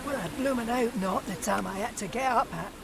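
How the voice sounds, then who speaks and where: Brash, high-voiced wife. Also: female voice, a little fast, gravelly. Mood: brash, friendly. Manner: blunt, chatty, jokey. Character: brash, chatty working-class older wife. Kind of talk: audio drama acting. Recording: noisy outdoor street ambience.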